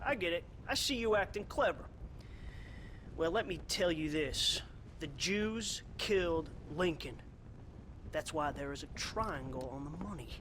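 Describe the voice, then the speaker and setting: An actor playing a paranoid, self-righteous old American storekeeper who rants emphatically in a western video game. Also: male voice, high voice, a bit bright, a little hiss or hum, low-quality recording. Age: older. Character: bigoted, paranoid old male storekeeper, indignant conspiracy crank.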